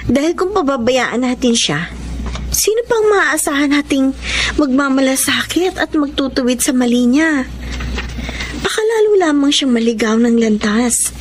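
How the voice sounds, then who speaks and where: A woman acting a kind, forgiving young woman in a Filipino radio drama, speaking gently and earnestly. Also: sits high, lively intonation, unhurried, slight background noise. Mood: kind, pleading.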